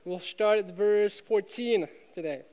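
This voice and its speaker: A man's voice: high voice, unhurried, mellow. A man from the Czech Republic with a Czech accent, preaching a sermon with emphatic repetition and rhetorical questions. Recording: long hall reverb.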